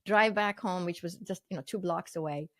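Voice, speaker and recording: A woman's voice: lowish voice, fairly quick. Earnest older woman from Sweden. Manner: earnest, vivid storytelling with fillers. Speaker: guest. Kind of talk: storytelling interview talk.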